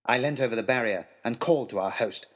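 A man's voice: mid-range voice, fast, fairly bright tone. A man narrating an adventure story in the first person with dramatic, theatrical expression. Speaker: audiobook narrator.

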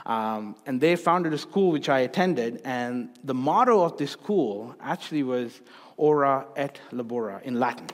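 A man who grew up in Sri Lanka, preaching a sermon to his church, repeating key phrases for emphasis and moving between raised and hushed delivery. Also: medium pitch, animated, unhurried, long reverb tail. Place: church sanctuary.

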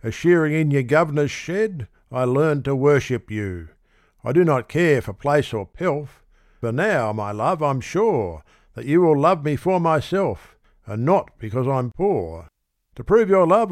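A man's voice: on the high side, animated, measured pace, no room sound, studio quality. A man voicing an ardent young shearer posing as a lord, wooing in a declamatory manner in a recited ballad.

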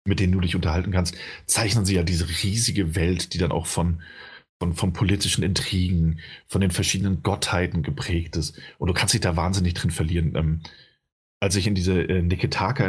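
Low, husky-voiced man, casual chat, rambling with fillers, game talk. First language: German. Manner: rambling, many fillers and restarts. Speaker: game reviewer.

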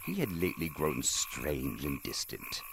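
An actor playing a morose, pompous gothic horror writer who narrates a radio play in a grandiloquent, droll manner. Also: male voice, a bass voice, big pitch swings, measured pace, vocal fry, background noise, heavily acted. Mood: gloomy, grandiloquent.

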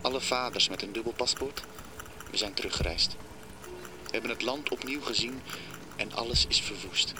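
A man's voice: mid-range voice, moderate pace, pauses often, background noise, lightly acted. An actor playing a solemn father rallying his child to war in an audio drama. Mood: solemn, pleading. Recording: loud music bed under dialogue.

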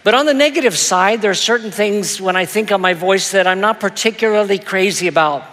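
A male pastor telling a story in a sermon, with a soft, soothing voice and a gentle, self-deprecating manner that stumbles into little repeats. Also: high-pitched, a little fast. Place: church auditorium. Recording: long hall reverb.

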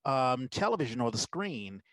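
A man with a mid-pitched voice hosts a casual interview podcast. He talks in a roundabout, discursive way, and the sound is dry with very little room. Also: sing-song, normal speed, fairly bright tone, no room sound. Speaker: podcast host.

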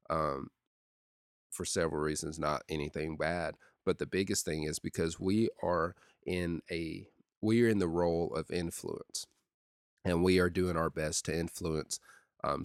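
Young adult youth pastor, very low deep voice, dry close sound, relaxed podcast chatter. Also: male voice, gravelly, no echo. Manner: steady and conversational with many ums and uhs. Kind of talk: casual solo podcast talk.

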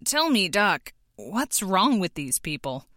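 A woman's voice: mid-range voice, sing-song, slow pace, sharp tone, no echo, clean studio sound, heavily acted. A woman playing a crude, hard-bitten mercenary with a drawling delivery in an audio drama. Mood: sneering. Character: crude, sneering mercenary with a gun.

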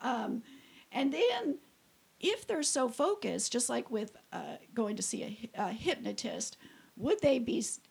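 A middle-aged woman co-hosting a podcast, her voice raspy and hoarse from a cold. She speaks a little high, with a husky gravel underneath. She is chatty, laughing often and filling pauses. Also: big pitch swings, a little mellow. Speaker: podcast co-host. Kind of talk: casual podcast chat.

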